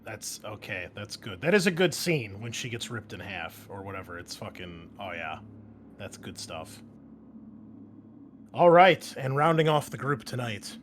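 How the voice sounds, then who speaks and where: Guy running a tabletop game, lowish voice, slangy and into it, bit of noise behind. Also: sing-song, a little slow. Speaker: game master and host. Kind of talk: casual table chat and game recap. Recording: noticeable background noise.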